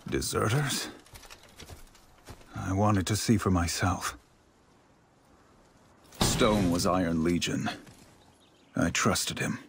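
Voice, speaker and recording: Low, gravelly, brooding knight narrator. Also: male voice, slow, pauses often, background noise, heavily acted. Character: grave, reflective male knight warrior recounting a war. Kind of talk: dramatic scripted narration. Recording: loud music behind the voice. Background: loud music.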